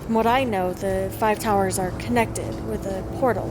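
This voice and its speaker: A woman's voice: medium pitch, steady tone, normal speed, heavy background noise, close to an everyday voice. A woman playing an earnest, respectful young adventurer in a fantasy audio drama.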